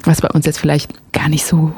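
Gravelly-voiced woman, relaxed and hesitant. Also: low voice, fairly quick. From Germany. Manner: relaxed, hesitant with frequent fillers. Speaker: musician guest. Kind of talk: casual interview talk.

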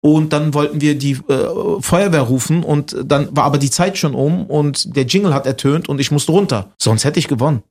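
A man's voice: a little high, normal speed, few pauses, a little mellow, slightly rough. Jokey man in casual conversation. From Berlin, Germany. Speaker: stand-up comedian, interview guest. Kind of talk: casual chat.

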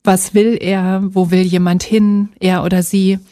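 Thoughtful German woman, mellow and dry. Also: medium pitch, flat delivery, dry recording. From Berlin, Germany. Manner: thoughtful, measured, with fillers and soft laughs. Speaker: psychologist, interview guest. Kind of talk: reflective interview answers.

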